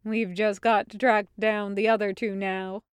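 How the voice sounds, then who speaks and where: A woman's voice: medium pitch, level tone, a little slow, clear, resonant tone, no echo, lightly acted. A woman voicing a wry, practical male adventurer with a matter-of-fact delivery in an audiobook story. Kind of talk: audiobook character acting.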